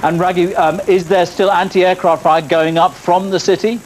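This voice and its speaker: A man's voice: high voice, flat delivery, normal speed. A man presenting broadcast news as a television anchor. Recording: faint hiss of old VHS tape.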